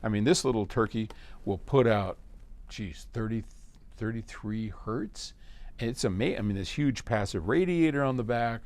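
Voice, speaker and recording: A man's voice: mid-range voice, a little mellow. A man hosting a casual explanatory talk, answering questions in a folksy, colloquial and emphatic way. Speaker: host answering listener questions.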